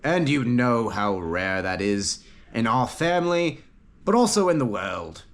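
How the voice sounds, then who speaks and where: A man's voice: mid-range voice, sing-song, measured pace. A man voicing a blunt, discouraging father, a retired fighter in a fantasy storybook. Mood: stern, dismissive. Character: gruff, dismissive fantasy father, a retired tank wayfarer. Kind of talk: acted storybook dialogue.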